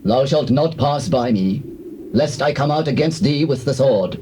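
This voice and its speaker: A male actor as a hostile king in a Bible drama, stern and immovable. He speaks slowly with a dark, mellow tone and open menace. Faint noise sits on the tape. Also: medium pitch, animated, natural voice. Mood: threatening. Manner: slow, stern, threatening. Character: hostile, unyielding king of Edom refusing passage. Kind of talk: dramatized scripture reading. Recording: faintly noisy old tape.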